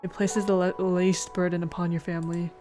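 Woman reading as a resigned middle-aged lady patient, lowish voice. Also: little pitch movement, a little fast, warm tone, lightly acted. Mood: instructing. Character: middle-aged female hospital patient, resigned, quietly instructing a newcomer. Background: game music.

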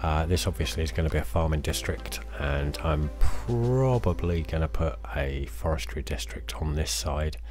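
A man, slightly hoarse from losing his voice, casually talking through his city-building game with lots of fillers. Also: rumbling low, moderate pace, few pauses. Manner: relaxed, self-deprecating, many fillers. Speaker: let's play host. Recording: music playing behind the voice.

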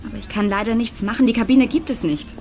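An actress playing a polite, composed cruise ship receptionist, apologetic and formal, in naturalistic radio drama style. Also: female voice, a little high, fast, a little mellow, close to an everyday voice. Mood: apologetic, firm. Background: music.

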